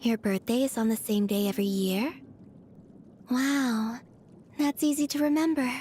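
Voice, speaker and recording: A woman voicing a serene, otherworldly young moon maiden from a video game, soft and breathy, with a gentle, naive curiosity. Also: on the high side, slight background noise, natural voice. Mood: innocently curious. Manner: slow, dreamy, innocently curious. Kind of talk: video game character voice lines.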